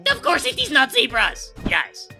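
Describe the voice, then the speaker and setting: A woman's voice: sits high, wildly swooping pitch, normal speed, creaky, pushed and bright, soft background music, exaggerated voice. A woman voicing an eccentric, paranoid female cartoon pony who talks formally in stilted phrases, calling herself by name. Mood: defiant, paranoid. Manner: stilted foreign-style syntax, speaks of self in third person. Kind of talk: cartoon parody dub.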